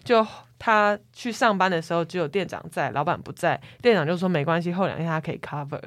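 A woman's voice: fairly low pitch, brisk, no echo. A woman with a Taiwanese Mandarin accent co-hosting a podcast, chatting casually and retelling stories. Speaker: podcast co-host. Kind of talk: casual chat and retelling online workplace stories.

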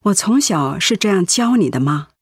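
Husky, gentle ailing mother. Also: mid-range voice, expressive, normal speed, no room sound, clean studio sound. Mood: firm, earnest. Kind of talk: Mandarin radio drama acting.

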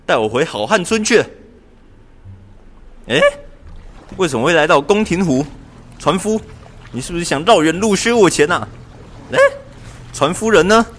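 A young man acting a high-voiced, anxious clerk. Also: lively intonation, normal speed, pauses often, fairly bright tone. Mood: carefree, puzzled. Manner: exclamatory, pleading. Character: anxious, cheeky, lazy male government clerk in ancient China. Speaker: student voice actor in a radio drama. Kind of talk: amateur radio drama acting. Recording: music under the voice.

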